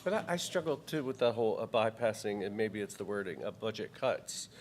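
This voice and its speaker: Board member guy, lowish voice, stumbling through his point, far-ish from the mic. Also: animated, fairly quick, a little hiss or hum. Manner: hesitant, thinking aloud with broken starts. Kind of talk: meeting discussion.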